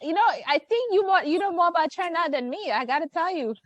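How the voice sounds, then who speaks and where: A woman from the United States hosting a podcast, effusive and quick to laugh in casual interview chat. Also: very high-pitched, expressive, a little hiss or hum. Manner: warm, effusive, laughs easily. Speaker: podcast host.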